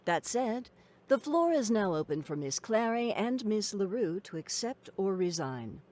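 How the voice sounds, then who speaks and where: A woman's voice: mid-range voice, sing-song, a little mellow. A woman voicing a formal, courteous female professor making class announcements in a game. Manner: formal, measured. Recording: game audio with faint music.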